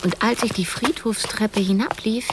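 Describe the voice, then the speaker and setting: An actress playing a reflective, wistful narrator who tells her story in measured, literary radio drama style. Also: mid-range voice, background noise, natural voice. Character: reflective woman narrator recalling events, wistful.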